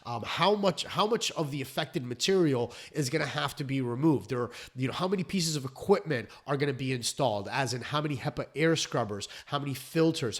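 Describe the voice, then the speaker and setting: A hoarse man who founded a restoration company, explaining his trade in a chatty vlog with fillers and restarts. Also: on the high side. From South Florida.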